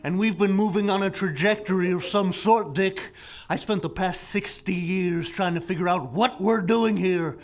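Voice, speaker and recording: Man playing a high-voiced crotchety old man. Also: moderate pace. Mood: matter-of-fact. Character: crotchety old man, stranded space traveler, comic. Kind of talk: live comedic musical podcast acting. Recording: live theater recording with faint music.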